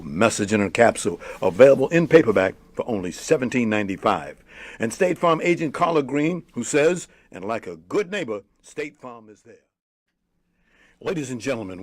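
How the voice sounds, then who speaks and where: A man's voice: mid-range voice, dry recording. A man from Harlem hosting an interview show with upbeat delivery, repeating phrases for emphasis. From Harlem, New York. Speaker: talk show host.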